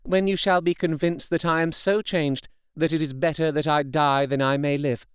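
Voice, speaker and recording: A man's voice: on the high side, steady tone, moderate pace, clean tone, no room sound, studio quality, pitched above the performer's own voice, close to an everyday voice. A man voicing a devoted young wife in an audiobook, speaking with earnest, tender pleading. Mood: solemn pleading. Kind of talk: audiobook character reading.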